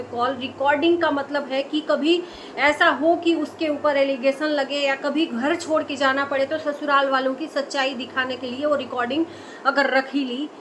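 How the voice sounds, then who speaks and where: A woman's voice: sits high, a bit bright, slight background noise, poor audio. A woman delivering an impassioned commentary, indignant and scolding, full of rhetorical questions. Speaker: video commentator.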